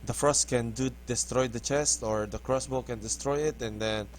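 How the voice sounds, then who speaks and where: A man from the Philippines with a Filipino accent, English not his first language, giving halting, hesitant commentary over a game tutorial. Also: medium pitch, steady tone, a little hiss or hum. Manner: hesitant, halting, restarts words often. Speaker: gaming tutorial host. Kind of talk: casual gameplay commentary.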